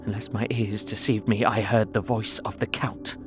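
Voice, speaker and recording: A man's voice: on the low side, flat delivery, normal speed, natural voice. A young man playing a frightened Englishman who narrates his ordeal in an earnest, tense way for an audio drama. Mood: fearful. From England. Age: young adult. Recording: music score under the voice.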